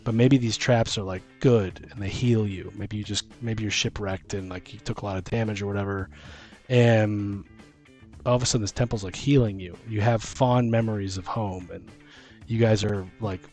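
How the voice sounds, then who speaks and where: An excitable man hosts a casual tabletop gaming chat. He thinks out loud in halting bursts, working through ideas as a game master would, with music playing behind him. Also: lowish voice. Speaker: host and dungeon master on a tabletop gaming show.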